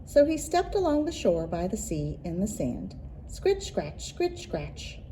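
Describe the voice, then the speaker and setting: A woman reads a children's picture book aloud in an expressive, deliberate storytelling manner, leaning into rhythmic phrases. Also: medium pitch, a little hiss or hum. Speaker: children's librarian and story reader.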